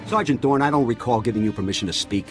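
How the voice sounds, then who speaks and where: A man acting as a gruff colonel in a sci-fi game, sarcastic and easily irritated. He is dismissive with subordinates, his mid-pitched voice set over clear background music. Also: fast, close to an everyday voice. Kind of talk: video game character acting.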